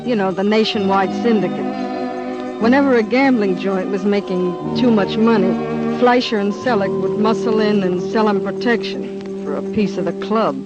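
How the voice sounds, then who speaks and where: An actor playing a streetwise, slangy underworld informant in an old-time radio crime show. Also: male voice, high-pitched, fairly even intonation, moderate pace, close to an everyday voice. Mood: knowing. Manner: slangy, knowing. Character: streetwise male underworld informant laying out a racket. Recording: dull old radio, loud music behind.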